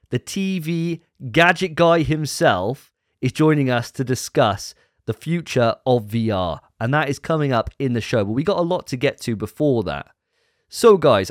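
Lively, teasing man hosting a podcast. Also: mid-range voice, no room sound, clean studio sound. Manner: teasing, jokey. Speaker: podcast host. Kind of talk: casual podcast banter.

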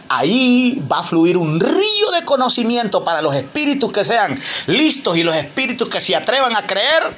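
Honduran male preacher, high and sharp-toned, impassioned sermon delivery with loud-to-hushed swings, faint noise underneath. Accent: Honduran Spanish. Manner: impassioned preaching with big swings between loud declarations and low, hushed passages.

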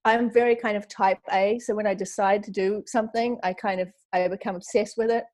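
A woman in her forties with a New Zealand accent, talking as a podcast guest. Her pitch sits at an ordinary middle level. She chats freely, full of fillers, and often acts out her own past thoughts. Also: steady tone, fairly quick, darkish tone. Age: 40s. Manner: chatty, lots of fillers and quoted self-talk. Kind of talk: casual interview chat.

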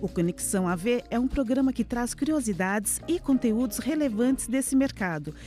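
A woman's voice: fairly high pitch, lively intonation, moderate pace, over music. A woman hosting an audiovisual podcast, reading a scripted introduction and then interviewing her guest. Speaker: podcast host.

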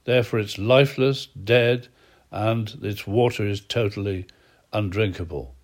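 A man with a cold giving a short devotional Bible talk, earnest and measured, his phrases sinking low at the ends. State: has a cold. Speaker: preacher.

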